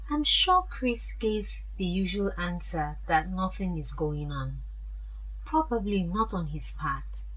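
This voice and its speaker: A woman playing a frank, protective older mother in a radio drama, speaking deliberately and expressively. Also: lowish voice, fairly bright tone, husky, exaggerated voice, poor audio.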